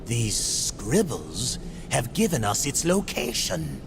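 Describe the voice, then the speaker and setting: A man voicing a shrewd, calculating, scholarly demon merchant in a game cutscene, formal and deliberate. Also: mid-range voice, wildly swooping pitch, vocal fry, noisy background, heavily acted. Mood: intrigued. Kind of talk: video game cutscene acting.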